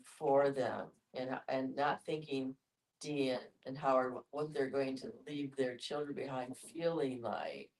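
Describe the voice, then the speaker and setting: A woman's voice: very deep voice, a little slow, darkish tone. A woman taking part in a casual group discussion, speaking conversationally. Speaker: discussion participant. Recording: distant microphone.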